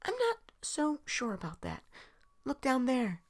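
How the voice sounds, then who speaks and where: A man's voice: extremely high pitch, huge pitch swings, a little slow, pitched above the performer's own voice, heavily acted. A man voicing a small winged adventure hero in a story, emphatic, urgent and determined. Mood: exasperated, then hopeful.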